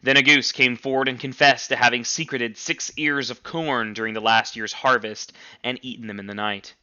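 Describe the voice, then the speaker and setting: A man reading a novel aloud like a teacher, with emphatic, expressive storytelling. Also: mid-range voice, moderate pace, clear, resonant tone, highs cut off. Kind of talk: dramatic novel read-aloud.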